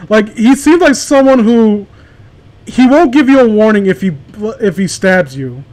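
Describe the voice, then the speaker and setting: A man's voice: high voice, expressive, moderate pace, darkish tone, poor audio. A man chatting casually among friends and reading stories aloud, stumbling over words as he reads. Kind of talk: casual group banter and amateur story reading.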